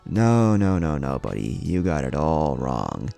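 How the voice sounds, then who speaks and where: Cocky villain gang leader, acted by a male narrator, slangy, creaky, lively delivery. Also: rumbling low, a little slow, soft background music, pitched below the performer's own voice, heavily acted. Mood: explaining, frustrated.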